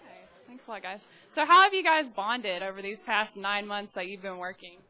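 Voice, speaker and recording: A woman interviewing performers at a campus show, upbeat and quick with short affirmations. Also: medium pitch, sharp tone, rough recording. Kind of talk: casual event interview.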